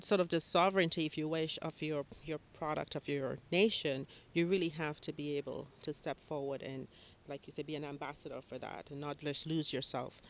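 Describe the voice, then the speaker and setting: A woman's voice: low-pitched, steady tone, a little fast, a little hiss or hum. A woman interviewing a musician, friendly and affirming as she asks open questions.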